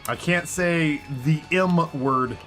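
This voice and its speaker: A man in his thirties streaming and commenting on a game in a rambling, self-mocking way, his voice hoarse after hours of talking. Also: fairly high pitch, slow pace, a bit bright, soft background music. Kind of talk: live gameplay commentary. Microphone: headset with headphones.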